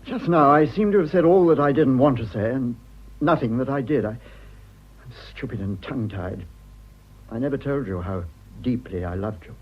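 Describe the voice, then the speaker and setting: An earnest British husband in a radio drama, awkward and lovelorn. His husky voice halts and apologises as he pleads, a little muffled in the recording. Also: medium pitch, lively intonation. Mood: pleading, tender. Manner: hesitant, halting, apologetic.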